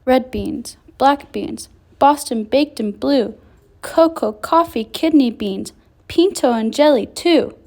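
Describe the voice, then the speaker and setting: A woman voicing excitable little Chihuahuas in a children's storybook, exclaiming and chanting. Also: high voice, slow pace, vocal fry, exaggerated voice. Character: band of excitable little bandit-fearing Chihuahuas.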